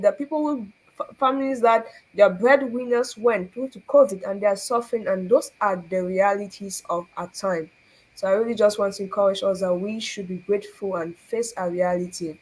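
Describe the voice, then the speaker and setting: A woman's voice: medium pitch, husky, low-quality recording. A young Cameroonian woman hosting a faith talk, earnest and preachy, asking rhetorical questions and laughing often. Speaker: show host.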